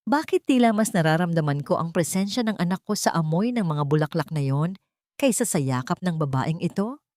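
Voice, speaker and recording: A woman's voice: lowish voice, lively intonation, brisk, darkish tone, no room sound, clean studio sound, lightly acted. A woman voicing a sorrowful, authoritative old billionaire father who murmurs with searching emotion in a dramatic audio story. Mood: troubled, longing.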